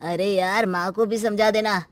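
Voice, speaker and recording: A woman's voice: mid-range voice, steady tone, moderate pace, over music, close to an everyday voice. A woman voicing an exasperated young married man in a family moral story, grumbling wearily. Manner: weary grumbling. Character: young married man, exasperated, torn between mother and wife.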